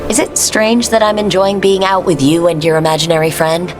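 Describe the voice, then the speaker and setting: An actress playing a cool, wry, protective heroine in a fantasy video game, with a teasing manner. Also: female voice, lowish voice, little pitch movement, a little fast, close to an everyday voice, rough recording. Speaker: player hero in a fantasy game. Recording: loud music behind the voice.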